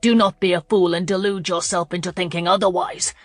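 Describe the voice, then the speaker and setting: A woman's voice: lowish voice, steady tone, a little fast, no room sound, close to an everyday voice. A woman narrator voicing a proud, bitter aristocratic man, speaking in a clipped, acid, contemptuous way. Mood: furious. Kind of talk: audiobook character voice.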